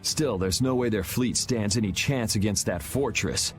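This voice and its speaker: A man's voice: on the low side, moderate pace, faint music behind, close to an everyday voice. An actor playing a grim, blunt young swordsman in a video game cutscene.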